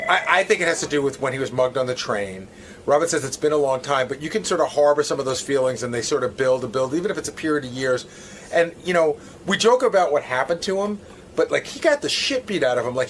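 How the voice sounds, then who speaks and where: A man's voice: a little high, a bit bright. A man giving casual, speculative interview answers, explaining things as he goes. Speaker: interviewed show staffer. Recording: faint background room noise.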